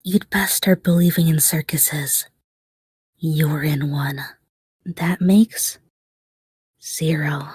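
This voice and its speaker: A woman voicing a cold, mocking circus animatronic in a horror game, with a husky, whispery voice and sardonic, unhurried delivery. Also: fairly low pitch, dark tone, dry recording, heavily acted.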